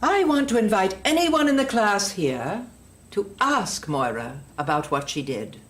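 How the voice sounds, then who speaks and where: An actress playing a stern, sanctimonious older woman indoctrinator in an audio drama, measured and condescending. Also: medium pitch, very animated. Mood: wounded, commanding.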